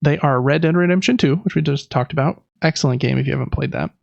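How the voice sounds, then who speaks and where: Guy podcast host chatting thru game news, gravelly fry, slightly muffled dry recording. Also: fairly high pitch, quick pace, no echo. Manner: chatty, informal, reading through lists with asides. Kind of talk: casual gaming podcast news rundown.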